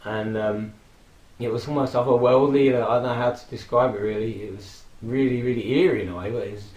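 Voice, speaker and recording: Hoarse man reminiscing in an echoey room. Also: mid-range voice, fairly even intonation, fairly quick, darkish tone. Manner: rambling, reminiscing, with frequent laughs and fillers. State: hoarse from a throat infection. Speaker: vlogger. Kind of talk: casual personal tribute monologue.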